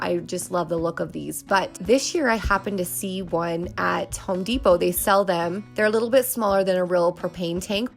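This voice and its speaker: A woman in her thirties talks in a friendly, chatty way, with fillers like "um" and "like". Her voice is low, gravelly and nasal from weeks of illness, and it sounds like it is going out. Faint music plays under her voice-over. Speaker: home decor vlogger. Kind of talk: casual voice-over narration.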